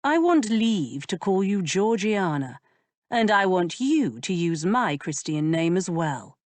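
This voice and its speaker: Sly aristocratic gentleman, slow amused drawl, period storybook, read by a woman. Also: lowish voice, sing-song, dry recording. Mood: teasing. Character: teasing aristocratic male earl, languid and sly.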